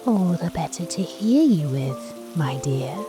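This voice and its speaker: Woman voicing a sly, slow-talking storybook wolf. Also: deep voice, very animated. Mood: falsely kind menace. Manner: wheedling, drawn-out, mock-kindly. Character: sly, predatory wolf, falsely sweet and coaxing. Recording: soft music and rain behind.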